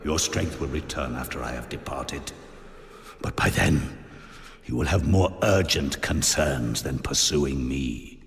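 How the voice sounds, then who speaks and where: Sly, gravelly old sorcerer, slow and low. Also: male voice, full character voice. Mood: gloating scorn. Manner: pompous, mocking, deliberate. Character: sly, condescending old male sorcerer, smugly taunting. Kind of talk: theatrical video game cutscene acting. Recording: long reverberant hall echo.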